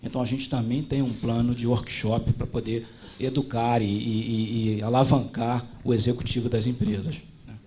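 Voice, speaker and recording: Flat, mellow-voiced male panelist. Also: medium pitch, moderate pace, a little hiss or hum. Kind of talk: conference panel talk.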